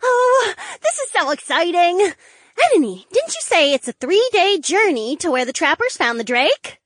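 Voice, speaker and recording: A man's voice: very animated, moderate pace, fairly bright tone, dry recording, studio quality, exaggerated voice. A man putting on a squealing, giddy young woman. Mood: thrilled. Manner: high, breathless and gushing.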